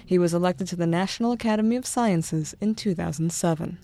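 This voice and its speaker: Mellow woman reading a podcast intro. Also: fairly low pitch, fairly quick. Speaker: podcast interviewer. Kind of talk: scripted introduction.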